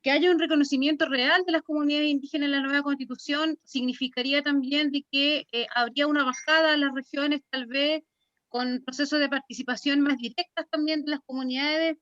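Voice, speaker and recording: A Chilean woman speaks at a measured, deliberate pace on a panel. Her voice is very high, the sound is dry, and the audio is slightly dull. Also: steady tone, fairly bright tone, no room sound. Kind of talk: panel discussion.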